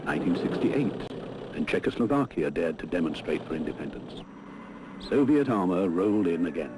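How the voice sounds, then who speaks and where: A low-pitched British man narrates a documentary film from a prepared script. The recording is an old film soundtrack with clearly audible noise around the voice. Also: very animated, moderate pace.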